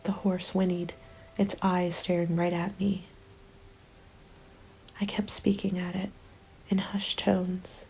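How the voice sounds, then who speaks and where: Weary female apocalypse survivor, played by a woman, ASMR narration: hushed and whispery, dark mellow tone, flat melody, slow delivery. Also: lowish voice, a little hiss or hum, poor audio. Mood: soothing, tense. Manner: hushed, slow, breathy, often dropping to a whisper. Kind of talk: ASMR roleplay, scripted first-person narration.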